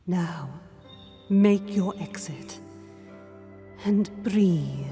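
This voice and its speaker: Spirit guide played by a woman: low-pitched, unhurried, calm and mysterious, in deep reverb. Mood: soothing. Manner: soothing, measured, mysterious. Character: calm mystical female spirit guide in a dream. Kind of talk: audio drama acting. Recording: long reverb under music.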